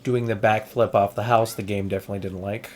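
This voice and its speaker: A man's voice: fairly low pitch, little pitch movement, quick pace, slight background noise. A man streaming an emulator test, chatting casually and rambling with many restarts, saying he is losing his voice. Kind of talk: casual gameplay stream chat.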